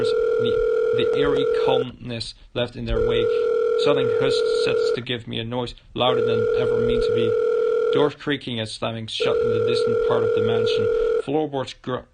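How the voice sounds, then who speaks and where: A man livestreaming a visual novel, reading the narration aloud in a halting way with stumbles and repeated words. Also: medium pitch, heavy background noise. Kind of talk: live narration of game text. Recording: loud music behind the voice. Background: game music.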